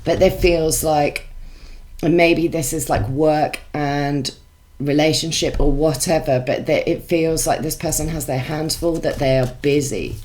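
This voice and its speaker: A woman with a congested voice, chatting through a tarot reading with many musing pauses and restarts. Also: low voice, steady tone, a little mellow. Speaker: tarot reader.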